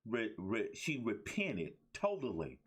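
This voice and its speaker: Man, talk show host, playful teasing, very lively pitch. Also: slow pace, husky. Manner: playful, teasing, laughs often. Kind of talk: casual interview chat.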